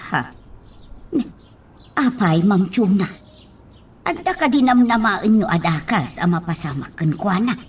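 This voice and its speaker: A woman's voice: medium pitch, animated, unhurried, long pauses, slightly rough. A woman with an Ilocano accent playing a dutiful, reassuring household helper in a radio drama.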